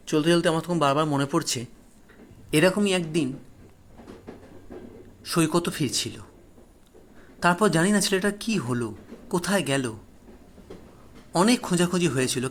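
A male storyteller with a slightly high voice narrates horror in the first person. His phrasing is deliberate and suspenseful, dramatic in style, set over clear train-like ambient noise.

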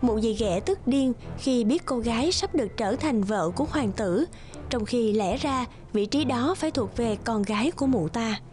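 A woman's voice: on the high side, lively intonation, normal speed, darkish tone, soft background music. A woman giving scripted fairy-tale narration as the storyteller of a cartoon.

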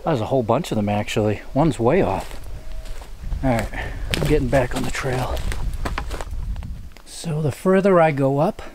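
A man's voice: mid-range voice, animated, a little slow, pauses often, a little mellow, slight background noise. A man in his forties thinking aloud with curiosity as he hikes through a forest, talking to a body-worn camera outdoors. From New England. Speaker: hiking vlogger. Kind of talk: casual walking narration to camera. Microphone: body-worn action camera.

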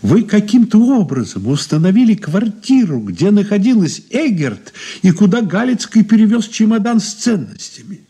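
A man's voice: high-pitched, very animated, moderate pace, hardly any pauses, mellow, slightly rough, exaggerated voice. An actor playing a shrewd, ironic old man under questioning in a 1980s Soviet radio play, courteous and condescending. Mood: accusing. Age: older. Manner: courteous, sly, condescending endearments.

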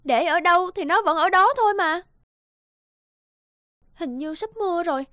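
A woman playing a weary, fed-up young wife in an animated cartoon, talking expressively. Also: extremely high pitch, normal speed, dry recording, studio quality. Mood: annoyed. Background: background music.

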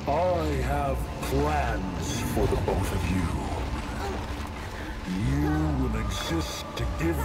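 A gravelly demon king from a video game, imperious and grandiose. He speaks extremely slowly, with cold menace, while loud music swells behind him. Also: medium pitch, full character voice. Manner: slow, pompous, menacing. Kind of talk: video game cutscene acting.

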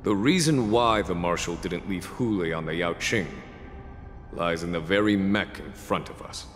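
An actor voicing a stately, composed general in a video game, speaking with calm authority. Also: male voice, low voice, normal speed, natural voice. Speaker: game character. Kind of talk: dubbed game dialogue. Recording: long reverb with game music.

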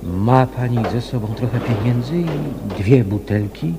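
An actor playing a cautious, protective helper in a radio mystery, giving measured advice. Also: male voice, mid-range voice, background noise, natural voice. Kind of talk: radio mystery drama acting.

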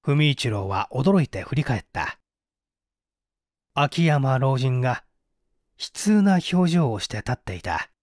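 A man's voice: medium pitch, sing-song, slow pace, long pauses. A male narrator gives a dramatic literary reading of a mystery story. The sound is dry, without room echo. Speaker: audiobook narrator.

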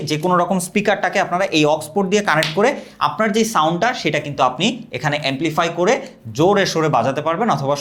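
Fast-talking young man pitching gear. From Bangladesh. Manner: rapid, energetic sales pitch. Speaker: product reviewer. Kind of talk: scripted product review.

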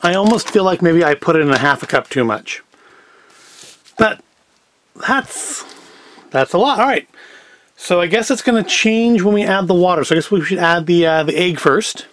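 Casual man with swooping, lively pitch. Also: normal speed, long pauses. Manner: wry, self-questioning, thinking aloud. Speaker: host of a food review video. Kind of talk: casual rambling cooking commentary. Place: indoors at home, at a table and later in a kitchen.

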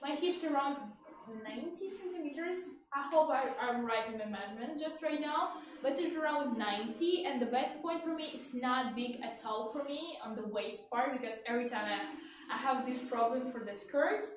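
A woman in her twenties from Ukraine with a Ukrainian accent, presenting products on a casual live stream in a chatty, halting, repetitive way. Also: high voice, far from the mic. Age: 20s. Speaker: live shopping host. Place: small indoor studio with clothes rack. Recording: echoey room from afar, faint noise.

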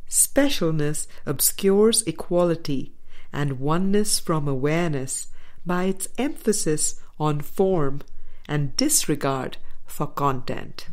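A woman reading a spiritual audiobook as scripted narration, with measured, deliberate phrasing. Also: sits low, darkish tone. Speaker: audiobook reader.

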